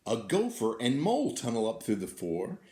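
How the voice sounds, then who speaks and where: A man reading a picture book aloud to children in an expressive, drawn-out way, inviting them to join in. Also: medium pitch, a little hiss or hum. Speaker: teacher reading a story to children. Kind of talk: animated picture-book storytelling. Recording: roomy, echoing indoor sound.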